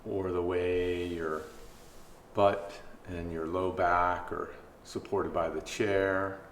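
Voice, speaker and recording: Low-pitched man guiding meditation in echoey room. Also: flat delivery. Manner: gentle, unhurried pauses between phrases. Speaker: mindfulness instructor. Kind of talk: guided body scan meditation. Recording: echoey room, faint background noise.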